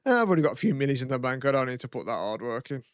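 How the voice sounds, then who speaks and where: Laid-back bloke, smooth low voice. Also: male voice, big pitch swings, racing, no room sound, clean studio sound. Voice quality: low throaty voice. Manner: laid-back, swears a lot, lots of "you know what I mean". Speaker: podcast co-host. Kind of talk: casual chat.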